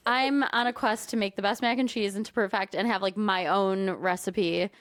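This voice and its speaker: A woman with a Midwestern American accent, co-hosting a casual chat podcast, lively and laughing often. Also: medium pitch, moderate pace, no room sound, clean studio sound. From Michigan, USA. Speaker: podcast co-host.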